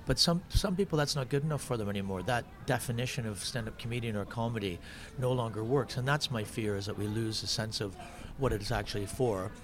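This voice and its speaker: A man's voice: mid-range voice, fairly quick, hardly any pauses. A man who is a stand-up comedian, chatting on a podcast, thinking aloud with many restarts. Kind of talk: casual podcast chat. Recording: noisy background.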